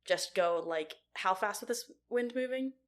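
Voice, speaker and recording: A woman's voice: medium pitch, a little slow. A woman co-hosting a podcast in casual chat, playfully teasing and riffing as she reacts and asks questions.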